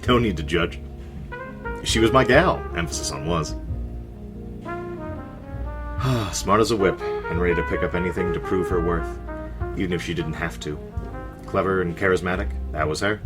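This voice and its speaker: An actor playing a gruff, world-weary New York speakeasy barkeep in a 1920s gangster radio drama, tough-talking in period slang. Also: male voice, deep voice, moderate pace, pauses often, music in the background, natural voice. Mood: wistful, regretful.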